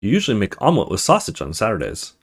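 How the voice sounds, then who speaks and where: Animated man narrating a horror visual novel. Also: fairly low pitch, very fast, husky. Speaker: let's play host. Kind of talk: reading a visual novel aloud with offhand commentary.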